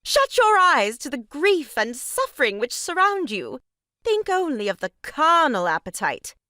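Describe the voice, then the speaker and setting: A woman's voice: sits high, huge pitch swings, measured pace, pushed and bright, no echo, clean studio sound, exaggerated voice. An actress playing a meddling, pompous woman in a stage farce, declaiming with melodramatic grievance. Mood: indignant, melodramatic. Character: meddling, theatrically aggrieved sister-in-law, grandly moralizing woman. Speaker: voice actor. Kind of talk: stage farce audio drama acting.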